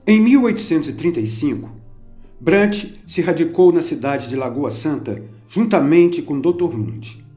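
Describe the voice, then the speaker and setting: Mellow male documentary narrator, roomy. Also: on the high side, big pitch swings, unhurried. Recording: roomy narration over soft instrumental music.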